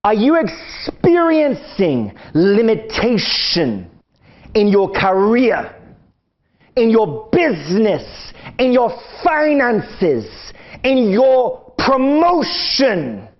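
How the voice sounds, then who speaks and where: A man's voice: sits high, wildly swooping pitch, very slow. A man leading a fervent prayer, declaring release from limitation with repeated, commanding phrases. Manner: repetitive commanding declarations, rising to shouts. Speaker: preacher. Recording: dull lo-fi sound.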